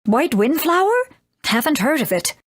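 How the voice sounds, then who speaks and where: A woman's voice: sits high, very animated, moderate pace, husky, no room sound, exaggerated voice. A woman voicing a brusque but doting mother condor in a video game. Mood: brusque, worried. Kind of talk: English game dub.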